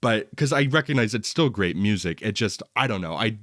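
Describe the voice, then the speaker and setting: A man's voice: fairly low pitch, animated, brisk, fairly bright tone, dry recording, clean studio sound. A man hosting a film review podcast, talking casually and giving hedged personal opinions in a conversational way. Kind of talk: casual movie discussion.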